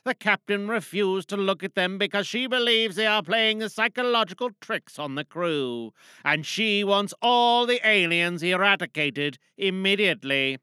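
Playful woman with a bright voice. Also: mid-range voice, lively intonation, moderate pace, clear, resonant tone, no room sound. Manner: playful, jokey. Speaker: monologue performer. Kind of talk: casual introduction and chat to listeners.

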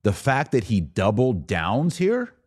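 A man's voice: mid-range voice, huge pitch swings, slow, dry recording, clean studio sound. An American man giving opinionated solo podcast commentary, sarcastic and emphatic, repeating phrases for effect.

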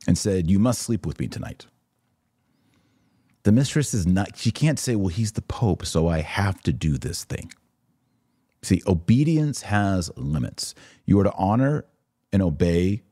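A low, mellow-toned man delivers religious commentary as a podcast host. His voice is slightly husky and very dryly recorded, and he explains things in an emphatic, conversational teaching manner. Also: dry recording, studio quality. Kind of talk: live religious commentary, answering listener questions.

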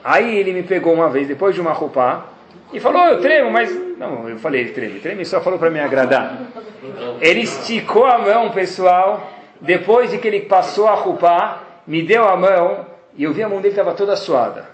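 A man's voice: high voice, normal speed, reverberant. A man with a Brazilian Portuguese accent, a rabbi teaching a class to his students, telling stories and acting out the dialogue in them. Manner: animated storytelling, acts out quoted dialogue. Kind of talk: Torah class lecture.

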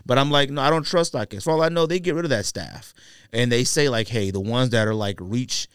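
A man's voice: mid-range voice, a little fast, clear, resonant tone, no room sound, studio quality. An American man hosting a podcast in casual chat, with a slangy, opinionated way of talking. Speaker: sneaker podcast host.

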